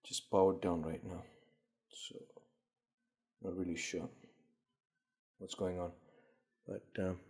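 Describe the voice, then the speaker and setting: A man's voice: sits low, level tone, takes it slowly, long pauses, a little mellow, slightly rough. A man hosting a casual gadget demonstration, thinking aloud in a hesitant, unscripted way as he tests things. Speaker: gadget collector and video host.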